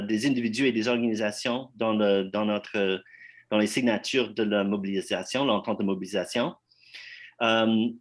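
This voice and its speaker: An anglophone man introduces a community conference evening. He speaks haltingly, restarting phrases and filling gaps, in a mid-pitched everyday voice. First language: English. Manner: halting, many fillers and restarts. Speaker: event host.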